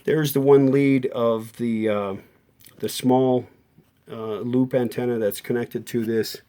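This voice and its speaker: A man hosting an informal repair tutorial, explaining technical details off the cuff in a halting way and often correcting himself. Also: mid-range voice, steady tone, dark tone. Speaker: host of a vintage radio repair tutorial. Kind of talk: unscripted hands-on technical explanation.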